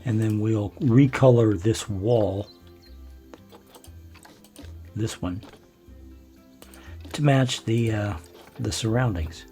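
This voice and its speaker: An older man with a naturally low voice, hosting a gameplay video and musing to himself in unhurried, relaxed commentary as he builds. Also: soft background music. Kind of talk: relaxed let's-play commentary.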